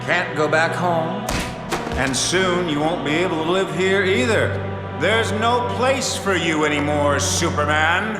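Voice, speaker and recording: Man playing a high-pitched, gloating supervillain. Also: measured pace, reverberant, music in the background, lightly acted. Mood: menacing. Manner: taunting, theatrical. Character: menacing, gloating male supervillain in a game. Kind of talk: dramatic video game acting.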